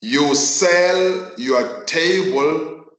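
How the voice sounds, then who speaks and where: A South African man whose mother tongue is Northern Sotho, teaching a virtual class in an emphatic, deliberate way and repeating phrases for effect. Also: a little high, a bit bright. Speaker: accounting teacher. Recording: echoey room.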